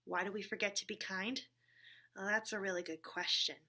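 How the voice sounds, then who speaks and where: Bright-voiced woman hosting children's story time. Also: medium pitch, fairly even intonation, brisk. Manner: encouraging, simple child-directed teaching with frequent check-in questions. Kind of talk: talking to a puppet companion for young children.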